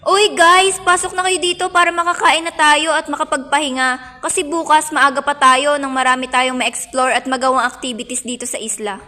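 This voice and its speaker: Woman acting as bubbly young friend, very high pitch, bright forceful voice, radio drama. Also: steady tone, moderate pace. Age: young adult.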